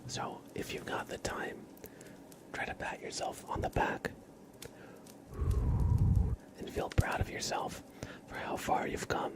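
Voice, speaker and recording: A man hosting an ASMR livestream in a soft whisper, gently addressing listeners directly. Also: unhurried, long pauses.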